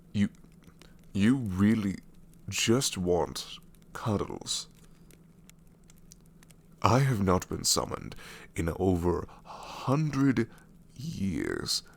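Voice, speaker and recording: Male VA: ancient shadow demon, sassy and grumbling, very low voice, lively intonation, close-mic ASMR. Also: gravelly, husky, heavily acted. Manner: sarcastic, incredulous, grumbling. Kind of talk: ASMR roleplay audio.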